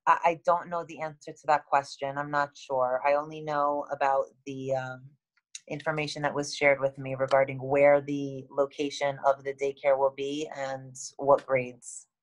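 A woman in her thirties with a halting, matter-of-fact manner, reading and answering questions as a school administrator on a video call. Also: rumbling low, little pitch movement, lo-fi sound. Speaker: school administrator hosting a town hall. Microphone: video call, computer microphone.

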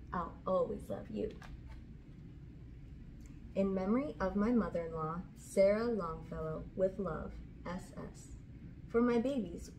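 A woman in her thirties hosting a children's read-aloud, reading storybooks and chatting casually, recorded indoors on a camera at about arm's length. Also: on the low side. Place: small indoor room with curtain backdrop. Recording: clear background room noise.

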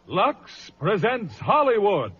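A man's voice: sits high, huge pitch swings, slow, husky. A man announcing in grand, proclaiming 1950s radio style. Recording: dull old radio recording, loud music.

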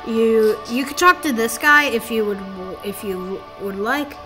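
A woman's voice: a little high, a little slow. A woman giving a casual game walkthrough, talking the viewer through steps in a rambling, self-correcting way. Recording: game music playing behind the voice.

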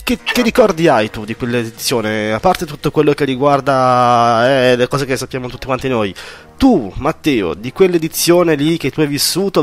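A man hosting a casual radio interview in an informal, encouraging, chatty way. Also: medium pitch. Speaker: radio show host interviewing a guest.

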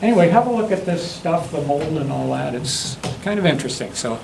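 A man in his seventies giving an informal demonstration talk, halting and anecdotal as he rambles along. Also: a little high, expressive, vocal fry. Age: 70s. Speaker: guest demonstrator and hobbyist. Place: classroom lecture room. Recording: faint room noise.

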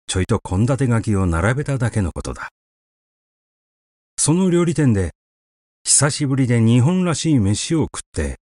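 A man reading a literary short story aloud as an audiobook narrator, with measured, expressive storytelling. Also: medium pitch, dry recording, studio quality.

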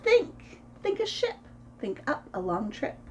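A woman in her thirties reading a picture book aloud to preschoolers in an expressive, sing-song way, as host of a story time. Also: high voice, a little slow, a little hiss or hum. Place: small room at home, indoors.

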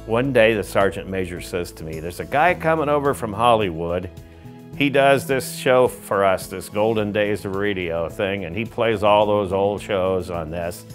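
Brief: older man, very low voice, relaxed storyteller, faint music behind. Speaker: interviewee. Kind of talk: casual recollection.

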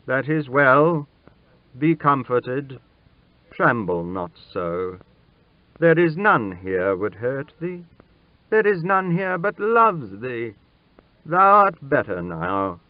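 A man's voice: on the high side, expressive, takes it slowly, dry recording, lo-fi sound, pitched above the performer's own voice. A man voicing an ailing old king who speaks to his child in stately, archaic words with fatherly tenderness and sorrow. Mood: soothing. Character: stern old ailing king turned gentle, grieving father.

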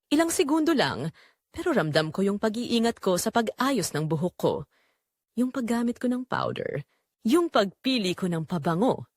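A woman narrating a scripted first-person story in a confessional, dramatic way with deliberate phrasing. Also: medium pitch, no room sound, studio quality.

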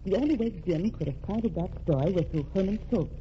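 A woman's voice: on the low side, expressive, brisk, warm tone, close to an everyday voice. An actress playing a determined young woman bent on revenge in 1940s radio drama style, speaking earnestly and intensely. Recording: dull lo-fi old radio recording with faint hiss.

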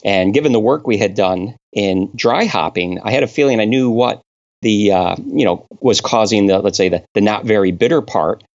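A man from Connecticut, a brewing scientist, explaining technical details in an interview, halting with many fillers. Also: fairly low pitch, a little mellow. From Connecticut, New England. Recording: dull lo-fi sound.

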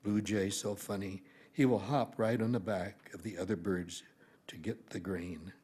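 A man in his seventies with a husky voice, reading a poem aloud at a podium microphone. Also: on the low side, steady tone, a little slow, darkish tone. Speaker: amateur reader in a reading-aloud group. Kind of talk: poetry recitation. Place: library meeting room, indoors.

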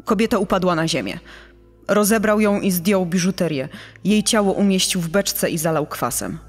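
A woman's voice: on the low side, normal speed, lightly acted. Woman playing a policewoman telling a murder case, matter-of-fact, echoey with music underneath. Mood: grave. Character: policewoman recounting a murder investigation. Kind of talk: dramatized reading of a book account. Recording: long reverb over faint music.

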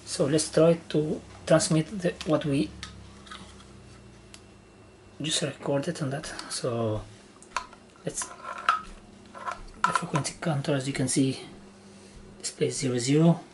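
A man giving a casual, halting step-by-step demonstration of equipment in short phrases, as a hobbyist. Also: a little high, steady tone. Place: indoor room with wooden surface. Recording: noisy handheld camera recording indoors.

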